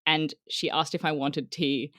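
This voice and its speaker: A woman's voice: low voice, level tone, measured pace, a bit bright, no echo, studio quality. A woman from Australia, co-hosting a podcast and asking curious questions. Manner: curious, laughing. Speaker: podcast co-host. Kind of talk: casual podcast chat.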